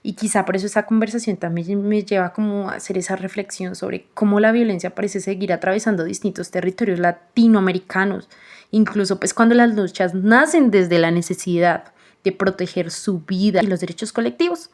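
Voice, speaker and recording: A woman's voice: mid-range voice, darkish tone. Earnest young woman reflecting as host. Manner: earnest, measured, many "pues" fillers. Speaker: podcast host and narrator. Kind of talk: reflective voice-over narration.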